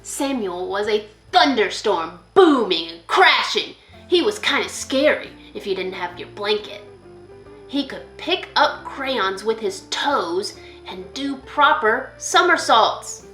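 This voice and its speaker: A woman reading a children's picture book aloud as a school librarian, expressively, pausing to put questions to young listeners. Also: on the high side, animated, pushed and bright, reverberant, soft background music.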